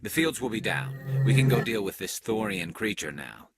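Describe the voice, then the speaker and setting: An actor playing a serious, composed squadmate in a science fiction video game. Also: male voice, lowish voice, big pitch swings, moderate pace, music in the background. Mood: alert, composed. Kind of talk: dramatic video game acting.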